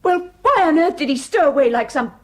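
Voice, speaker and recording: A woman's voice: high voice, animated, brisk. An actress playing a proper, indignant woman defending her family in a radio drama. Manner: prim and indignant.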